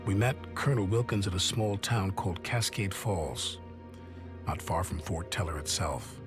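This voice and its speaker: A somber soldier narrates his war memories, acted by a man. The voice is very deep and measured, in the reflective style of a game story, over a clear musical score. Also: music in the background, lightly acted. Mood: somber recollection.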